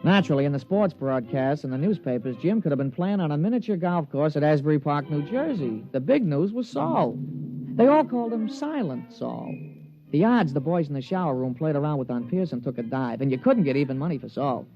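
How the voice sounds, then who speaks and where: An eager golf caddy with a slightly high voice, spinning his story in folksy slang. It comes through as a dulled fifties radio play with faint music underneath. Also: big pitch swings, brisk. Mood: wry storytelling. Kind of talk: 1950s radio drama acting, narration and dialogue. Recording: muffled vintage 1950s radio recording, music behind.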